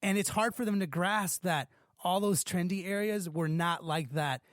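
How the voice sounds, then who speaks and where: Higher-voiced chatty male podcast host. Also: normal speed, no room sound, studio quality. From Los Angeles. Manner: chatty, filler-heavy, lots of like and man. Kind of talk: casual interview chat.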